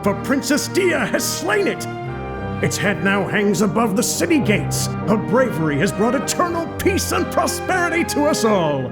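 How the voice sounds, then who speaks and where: A man's voice: very high voice, sing-song, normal speed. A theatrical storytelling father. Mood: grand. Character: playful father telling his shy daughter a hero tale. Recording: game audio with loud music.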